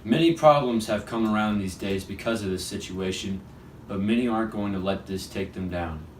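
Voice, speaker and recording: Lowish-voiced man anchoring from far off. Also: normal speed. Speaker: news anchor. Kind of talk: scripted newsreel narration. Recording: echoey, distant mic, faint noise.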